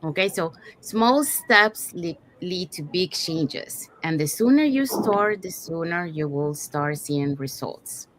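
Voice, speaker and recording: A woman's voice: on the low side, animated, slow, hardly any pauses, a little hiss or hum, rough recording. A woman, hosting a casual live online talk. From Panama. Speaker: host, digital business owner. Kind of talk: casual live webinar presenting.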